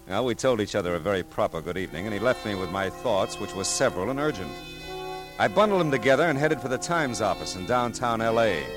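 An actor playing a wry, hard-boiled private detective, dry and clipped, in 1940s radio style. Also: male voice, lowish voice, lightly acted.

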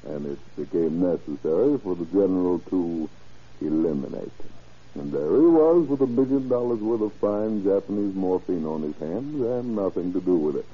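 A sly drug-dealing boss in an old radio play. The voice is deep and rough-grained, with very lively inflection and an unhurried, falsely genial air. The sound is dull, like an aged broadcast. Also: male voice. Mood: sly, boastful. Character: shrewd, smooth-talking crime boss, drug seller. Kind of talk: 1940s radio drama acting. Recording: muffled old radio recording.

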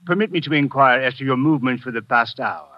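A man's voice: medium pitch, fast talker, dry recording, lightly acted. A man playing a shrewd French police inspector with a French accent, asking formal, probing questions in a vintage radio drama. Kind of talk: 1940s radio acting. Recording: dull vintage radio recording.

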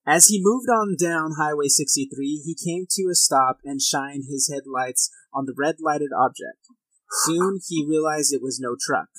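A man's voice: on the high side, unhurried, fairly bright tone, no room sound, poor audio. A man of thirty hosting a podcast in a casual, informal and self-deprecating way. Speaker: podcast host. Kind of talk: casual podcast talk and reading of accounts. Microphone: headset, recording at own setup.

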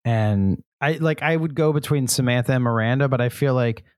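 A man co-hosting a podcast in casual chat, eager to share facts and quick to laugh. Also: mid-range voice, clear, resonant tone, no echo, clean studio sound. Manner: chatty, shares trivia facts, laughs often. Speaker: podcast co-host.

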